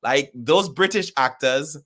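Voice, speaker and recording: A man's voice: a little high, a little slow. A man with a Jamaican accent, a guest on a podcast, talking casually about acting and slipping into patois. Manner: lively, drifts into Jamaican patois. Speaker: guest, actor and theatre producer.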